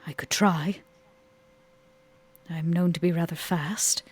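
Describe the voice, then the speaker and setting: Woman playing a husky, genteel vampire lady. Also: fairly low pitch, steady tone, takes it slowly. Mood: regretful, resolved. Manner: prim, quaint turns of phrase. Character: genteel, old-fashioned, quick-witted female vampire. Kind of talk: in-character tabletop roleplay.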